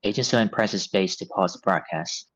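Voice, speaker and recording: Male narrator for script directions; lowish voice, scripted read, slightly muffled lo-fi audio. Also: moderate pace, a bit bright. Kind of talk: reading stage directions at a table read. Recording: dull, band-limited audio.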